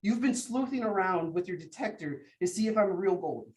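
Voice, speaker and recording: A man's voice: high-pitched, lively intonation, moderate pace, a little mellow. An actor playing a shaken young man who stammers as he retells a violent fight, in a stage play. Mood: bitter, guilty. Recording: roomy, distant from mic.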